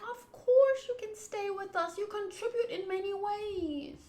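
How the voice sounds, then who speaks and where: A woman streamer doing a game playthrough, reading the text aloud and commenting in an expressive, emphatic way. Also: very high voice, a little slow. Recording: roomy echo, game music behind. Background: soft game music.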